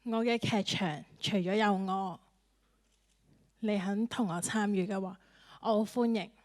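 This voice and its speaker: A woman presenting a guest at a forum in a formal, measured way. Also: mid-range voice, steady tone. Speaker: event presenter. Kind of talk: formal introduction.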